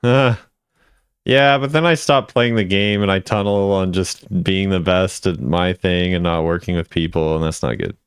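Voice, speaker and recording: A man's voice: low voice, steady tone, moderate pace, clean tone, no room sound, studio quality. A man giving offhand, casual commentary as a video creator, musing conversationally as he rates things. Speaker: video creator ranking game voice lines.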